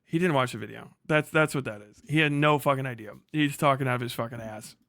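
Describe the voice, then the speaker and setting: A man's voice: medium pitch, fast talker, creaky, fairly bright tone, no echo. Exasperated man arguing, dry close sound. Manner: exasperated, argumentative, emphatic, rambling. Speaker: streamer reacting to a video. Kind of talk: casual reaction commentary, profane.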